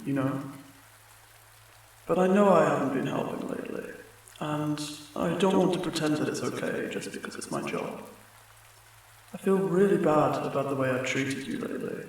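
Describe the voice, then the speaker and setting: A man playing a friendly, apologetic sleep paralysis demon in an ASMR comfort roleplay, speaking gently and haltingly, with reassurance. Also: on the high side, close to an everyday voice. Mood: apologetic, gentle. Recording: very echoey, distant, rain sounds behind. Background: rain.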